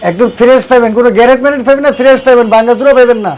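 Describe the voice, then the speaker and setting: High, fast-talking shopkeeper man. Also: fairly even intonation, a little mellow, slight background noise. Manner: brisk, repetitive, salesman-like. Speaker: tile shop owner. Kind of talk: sales pitch in a shop. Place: tile warehouse.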